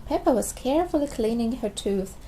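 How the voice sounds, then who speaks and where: A woman narrating a picture book aloud for young children in an expressive style. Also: on the high side, a little fast, slight background noise, rough recording. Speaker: storybook narrator.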